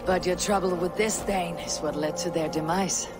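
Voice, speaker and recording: Woman doing a proud viking fighter lady for a game, low husky voice, clipped n dry, music behind. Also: steady tone, normal speed, natural voice. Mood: wry, probing. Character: stoic, proud female Viking warrior, wry and probing. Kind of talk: video game cutscene acting.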